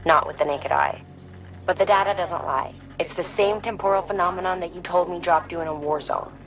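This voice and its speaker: A serious, gentle scientist. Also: female voice, fairly low pitch, fairly quick, low-quality recording. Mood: earnest. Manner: earnest and reflective. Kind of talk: video game cutscene dialogue.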